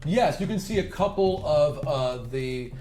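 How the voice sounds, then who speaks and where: A man's voice: fairly high pitch, expressive, a little slow, darkish tone, faint music behind. A man working as game master, improvising narration for a tabletop fantasy game.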